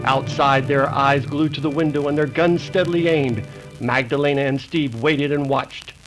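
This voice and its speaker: A man's voice: mid-range voice, fairly even intonation, normal speed, fairly bright tone, natural voice, rough recording. A man playing a dramatic narrator of a 1930s-style radio western. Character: dramatic old-time radio announcer. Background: music.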